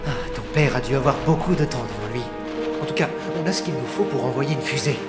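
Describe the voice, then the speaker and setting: A man playing a nervous, excitable scientist's assistant in a French sci-fi audio drama, with a hesitant manner. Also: mid-range voice, fast talker, natural voice. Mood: excited. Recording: roomy echo, loud music behind.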